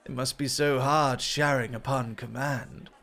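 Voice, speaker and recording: A man's voice: medium pitch, steady tone, unhurried, fairly bright tone. A man playing a suave, teasing dog host at a club in a flirty ASMR roleplay, with polished, coy, formal phrasing.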